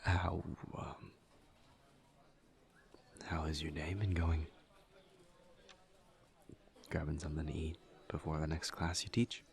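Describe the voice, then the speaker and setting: A man playing a shy, polite incubus student in an intimate audio roleplay, speaking softly and haltingly. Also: very deep voice, level tone, creaky, heavily acted. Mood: awkward, polite. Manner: hesitant, halting, awkward small talk. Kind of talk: audio roleplay acting, intimate one-sided conversation.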